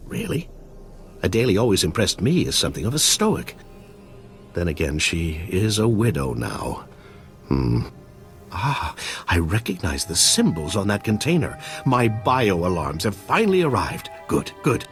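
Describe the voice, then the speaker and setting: Expressive, earnest awkward scientist. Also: male voice, fairly low pitch, measured pace, faint music behind. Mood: wistful, preoccupied. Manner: stiff, bookish, formal phrasing. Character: earnest, socially awkward male research scientist. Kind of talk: video game acting.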